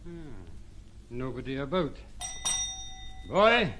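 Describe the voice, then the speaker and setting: An actor playing a brisk gentleman visitor in a radio drama. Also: mid-range voice, very animated, background noise. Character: brisk male visitor calling at a hotel.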